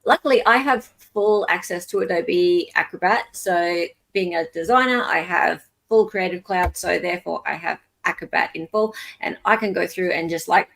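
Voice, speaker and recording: A woman's voice: lowish voice, normal speed, gravelly, few pauses, a bit bright, rough recording. A woman hosting a casual livestream chat, talking in an informal, emphatic way. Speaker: livestream host.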